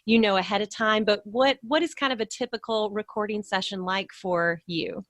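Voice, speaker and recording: A woman's voice: medium pitch, normal speed, fairly bright tone, no room sound, clean studio sound. A woman hosting a podcast interview in a casual, conversational way, enthusiastic and encouraging toward her guest.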